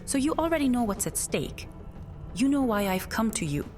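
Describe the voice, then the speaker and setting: A woman's voice: a little high, sing-song, normal speed, darkish tone. A woman playing an ancient witch in a fantasy audio drama, speaking in an earnest, portentous and formal way. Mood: solemn, portentous. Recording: music under the voice.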